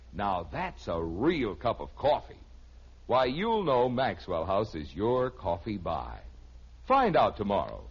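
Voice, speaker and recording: A man's voice: medium pitch, husky. An American man delivering a folksy, persuasive 1950s radio advertisement as a commercial announcer. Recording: muffled old radio recording.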